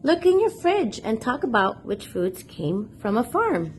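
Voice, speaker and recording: A woman reads a picture book aloud for small children at a slow, measured pace, with a pitch that rises and falls in lively swings. She speaks expressively and turns to her young listeners with questions. Music plays behind her voice. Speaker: children's read-aloud storyteller and channel host.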